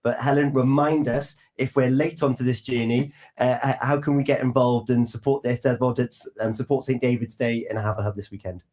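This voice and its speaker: Brief: male breakfast radio host, mid-pitched voice, chatty and upbeat. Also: brisk. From Wales. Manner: chatty, lively questions with warm asides. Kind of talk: casual radio chat.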